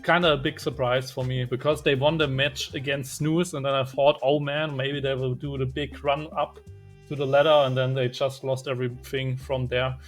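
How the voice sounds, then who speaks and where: A man's voice: medium pitch, little pitch movement, moderate pace, soft background music. A man co-casting an esports show, giving casual analysis with frequent hesitations. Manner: conversational, filler-heavy with frequent uhs. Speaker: esports co-caster.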